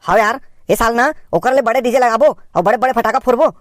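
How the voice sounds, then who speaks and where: A woman's voice: a little high, fast, dry recording, studio quality, faint music behind, close to an everyday voice. A woman voicing an eager, chummy young village man with a Chhattisgarhi accent in a cartoon comedy. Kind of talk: amateur cartoon comedy dialogue.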